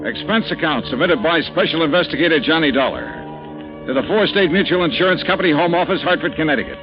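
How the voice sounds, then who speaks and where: A man's voice: on the high side, natural voice. An actor playing a wry male insurance investigator who narrates his own case in a 1950s radio drama. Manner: brisk, wry first-person narration. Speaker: narrator and lead. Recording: dull vintage radio broadcast with music.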